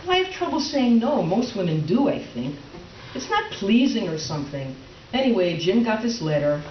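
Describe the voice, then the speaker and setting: A woman playing a prim, quietly resentful middle-aged wife in a stage comedy reading, hesitant and mildly sarcastic. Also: mid-range voice, big pitch swings, moderate pace, lightly acted. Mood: dryly resentful. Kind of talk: readers theater stage comedy. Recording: roomy, distant, dull, faintly noisy stage recording.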